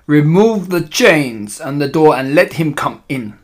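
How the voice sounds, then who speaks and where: Male narrator as evil storybook witch, imperious, malevolent, slow emphatic delivery, broken grammar. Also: fairly high pitch, animated. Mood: commanding, scornful. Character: imperious, malevolent female Grand High Witch plotting against children.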